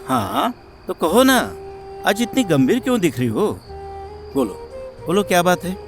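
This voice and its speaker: Guy doing a caring cartoon village husband, swingy expressive pitch, teasing n reassuring, soft music under. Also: measured pace, gravelly, heavily acted. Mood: curious. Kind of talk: cartoon moral story voice acting.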